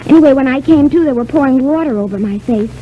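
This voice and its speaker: A woman's voice: high-pitched, fast, natural voice. An actress playing a plucky, naive young girl in an old-time radio serial, earnest and chatty. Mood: earnest, shaken. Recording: muffled, noisy old radio recording.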